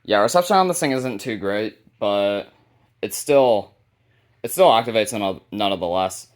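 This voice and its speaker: A man with a fairly low voice presents items from his collection in a casual, unscripted way. His delivery is informal and somewhat halting, like a hobbyist host talking as he goes. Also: expressive. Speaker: hobbyist video host showing a radio collection. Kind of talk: casual unscripted product showcase.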